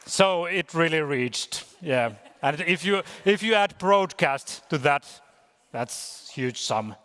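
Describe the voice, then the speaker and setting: A man giving an informal conference presentation, halting as he searches for words. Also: a little high, animated, sharp tone. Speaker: conference speaker. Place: large conference hall. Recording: reverberant hall.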